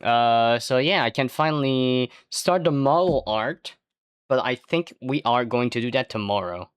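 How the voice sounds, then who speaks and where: A native French speaker streaming casually, rambling and swearing with jokey outbursts that jump up in pitch. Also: expressive, a little slow, clear, resonant tone, no echo, studio quality. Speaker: livestreamer. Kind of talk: casual livestream chat.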